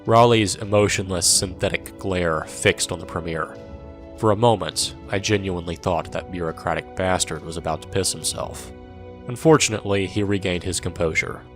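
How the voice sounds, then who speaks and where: A world-weary soldier played by a man narrating an audio drama in the first person. His voice is low, with a dry, irreverent, sardonic delivery. Music sits underneath. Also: moderate pace, close to an everyday voice. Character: wry, world-weary male soldier recounting his war.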